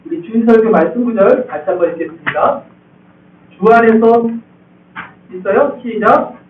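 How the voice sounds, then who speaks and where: High-voiced male pastor in an echoey church. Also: normal speed, a little hiss or hum. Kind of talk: expository sermon. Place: church sanctuary. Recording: echoey hall, distant tinny sound.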